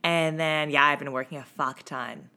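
Low, bright-toned woman with a raspy voice. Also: steady tone, moderate pace. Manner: laughs often, loose storytelling with many fillers. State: raspy from a cough. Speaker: podcast co-host. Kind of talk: casual chat.

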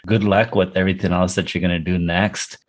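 A male interview host with a low voice, speaking over a video call. He responds to his guest in an encouraging way before he moves the conversation forward. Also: little pitch movement, fairly quick. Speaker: podcast host. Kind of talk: interview hosting.

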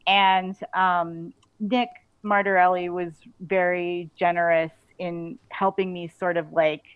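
A woman's voice: lowish voice, clear, resonant tone, dry recording. Female author interviewee, casual and effusive, conversational delivery, dry close sound with limited bandwidth. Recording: band-limited, slightly dull audio.

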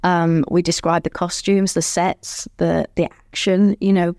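Woman with a slightly low voice, lively and good-humoured, telling stories, dry close sound. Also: unhurried, no room sound. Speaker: former ballerina and audio describer. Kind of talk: conversational storytelling.

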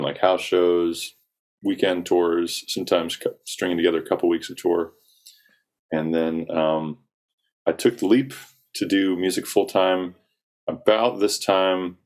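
A young man with a very low, rumbling voice talks loosely about his path as a singer-songwriter. He keeps an even, flat delivery and rambles with frequent ums and likes. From South Carolina, US. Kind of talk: casual interview talk.

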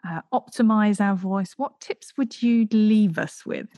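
A woman's voice: mid-range voice, unhurried, mellow, no room sound, studio quality. A woman hosting a podcast, addressing her guest and listeners in a presenting style. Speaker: podcast host. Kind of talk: podcast hosting, interview and scripted outro.

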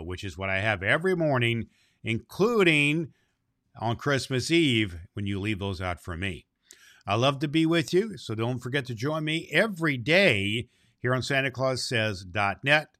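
A man's voice: mid-range voice, sharp tone, dry recording, studio quality. A man presenting as Santa Claus, speaking affectionately and in an avuncular way to young listeners. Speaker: host of a children's Christmas podcast, presenting as Santa Claus. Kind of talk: storybook reading and friendly address to children.

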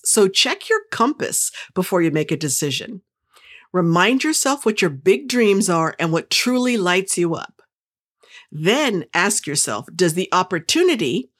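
Energetic female host with a somewhat low voice, giving an upbeat motivational monologue straight to the listener. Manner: upbeat, enthusiastic, pep-talk delivery; direct, playful, speaks straight to the listener. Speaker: podcast host.

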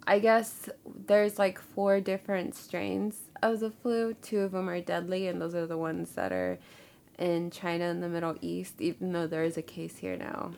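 A young woman chats casually as a podcast co-host, her voice a little low and gravelly at the edges. She sounds stuffy and nasal from a cold and fills her talk with likes and ums. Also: moderate pace, a little mellow, a little hiss or hum. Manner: chatty, laughing, filler-heavy. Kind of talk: casual chat.

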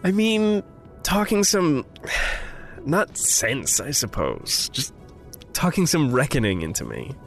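A man acting in a naturalistic audio drama as a gentle, wry confidant with a halting, playful way of talking. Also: medium pitch, wildly swooping pitch, vocal fry, soft background music, heavily acted. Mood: halting, sheepish.

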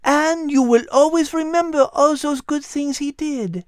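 A woman voicing a gentle, wise, refined female animal in a children's audio drama, speaking in an articulate, teacherly way. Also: high voice, unhurried, dry recording, clean studio sound. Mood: gentle, reassuring.

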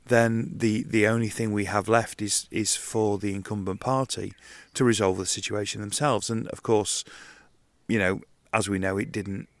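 Croaky, nasal man rambling outdoors. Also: fairly low pitch, no room sound. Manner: rambling, conversational, frequent ums and restarts. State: croaky and nasal from hay fever. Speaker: podcast host. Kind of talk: casual solo podcast monologue. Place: outdoors in a garden.

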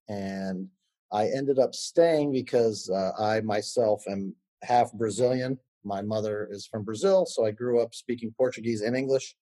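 A man in his thirties, an attorney giving a podcast interview, answering in a measured way with fillers and restarts. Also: lowish voice, mellow.

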